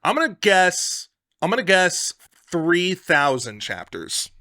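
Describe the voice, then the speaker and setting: A man co-hosting a podcast, chatting informally with slang and thinking out loud. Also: high-pitched, very animated, slow, crowd noise. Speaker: podcast co-host. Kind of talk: casual chat.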